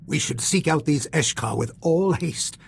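Middle-aged male senator, higher-pitched, creaky, formal, earnest, sci-fi game. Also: expressive, unhurried, a little hiss or hum. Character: dignified, earnest father with senate standing. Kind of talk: video game voice acting.

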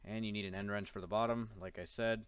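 Man, deep-pitched voice, casual DIY narrator, wry and self-deprecating, slightly muffled lo-fi voice-over. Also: fairly even intonation, fast, clean tone, dry recording. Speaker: DIY how-to host. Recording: dull, lo-fi, dry voice-over.

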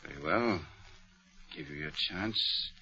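A man's voice: a bass voice, animated, takes it slowly, sharp tone, exaggerated voice. An actor playing an arrogant rich man, haughty and scornful, in a 1940s radio drama. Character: arrogant rich man accusing his valet. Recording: muffled old radio broadcast.

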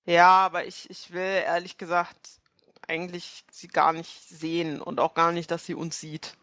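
A woman's voice: fairly low pitch, flat delivery, normal speed, fairly bright tone, dry recording, clean studio sound. A woman improvising casual in-character dialogue as a tabletop role-player, thinking aloud hesitantly.

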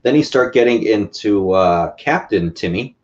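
A man's voice: on the low side, animated, a little slow. A man in his twenties chatting casually as a podcast guest, explaining things in everyday language. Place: living room couch, indoors. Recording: slightly muffled video-call audio.

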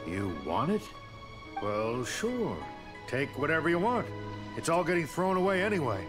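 An actor voicing a nervous warehouse clerk in a video game, talking in a flustered, anxious, over-eager way, with music playing behind. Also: male voice, on the high side, sing-song, normal speed. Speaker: game character.

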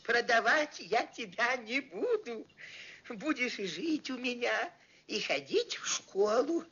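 Slow, kindly old organ-grinder. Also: male voice, very high-pitched, sing-song, slightly rough, exaggerated voice. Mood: fatherly, mildly stern. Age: older. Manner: gentle, sighing, fatherly. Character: poor, kindly old organ-grinder, gentle doting father. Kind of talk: vintage cartoon character acting. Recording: muffled old film soundtrack with music.

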